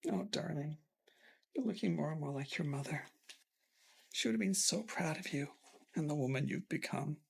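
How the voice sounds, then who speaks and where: An actor playing a stern aging father who heads a family company, commanding in a husky voice. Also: sits high, full character voice. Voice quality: gravelly, husky. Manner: commanding, outraged then tender. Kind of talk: melodrama acting.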